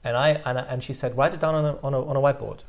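A man in his forties from Belgium talks about his life as a podcast guest. His voice has a gravelly, creaky edge, and he speaks thoughtfully, often restarting and repeating words as he works through his memories. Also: medium pitch, steady tone, racing. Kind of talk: reflective interview chat.

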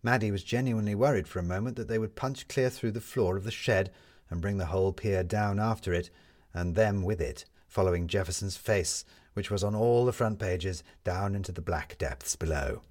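A man narrating a scripted story as an expressive storyteller. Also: fairly low pitch, moderate pace.

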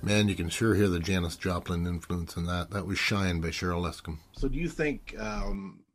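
A man's voice: low voice, normal speed, music in the background. A man hosting an interview, asking rambling questions with lots of fillers. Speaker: interview host. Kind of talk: casual interview chat.

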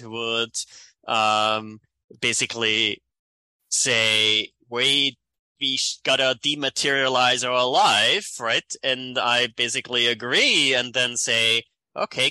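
A man's voice: medium pitch, clean tone, no echo. A man in his forties from Austria with an Austrian accent, a climate economist talking animatedly in an interview and often tagging sentences with "right?". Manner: animated, many "right?" tags. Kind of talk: conversational interview.

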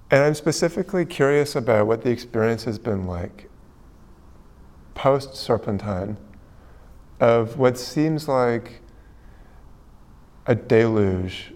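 A man with a somewhat low voice hosts the interview. He builds long, ornate questions in a musing tone. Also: a little mellow. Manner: musing, elaborate phrasing.

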